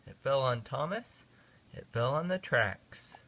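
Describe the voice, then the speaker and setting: A father reading a children's picture book aloud in an expressive, sing-song way. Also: medium pitch, a little slow, lo-fi sound. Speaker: father reading to his kids.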